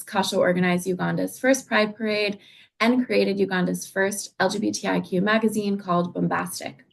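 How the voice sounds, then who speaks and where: A woman hosts an interview, reading a formal introduction and then asking long, careful questions. Her voice sits fairly low, with a gravelly fry. She speaks in a measured, composed way. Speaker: interviewer, program director. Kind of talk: interview host.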